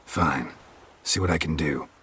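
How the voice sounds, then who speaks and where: An actor playing a gruff, weary monster hunter in a video game, with a raspy voice and terse, deadpan delivery. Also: male voice, a bass voice, unhurried, exaggerated voice. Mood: curt, businesslike. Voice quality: raspy, gravelly. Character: gruff, weary, dry-witted male monster hunter for hire.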